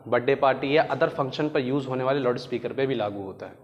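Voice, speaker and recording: A man's voice: medium pitch, fast talker, muffled recording. A man with an Indian accent giving an explanatory lesson in a didactic way, restating terms as a teacher does. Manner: didactic, glosses terms in Hindi and English. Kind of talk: explanatory educational lecture. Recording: echoey hall-like reverb.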